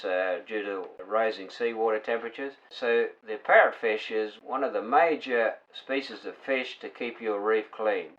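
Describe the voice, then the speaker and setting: An older man, an underwater photographer, speaking in a measured, earnest appeal about protecting coral reefs. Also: on the low side, fairly bright tone. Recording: dull lo-fi sound.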